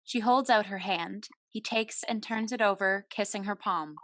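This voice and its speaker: A woman's voice: medium pitch, normal speed, a bit bright, dry recording. A woman giving scripted narration, reading out the stage directions of a play.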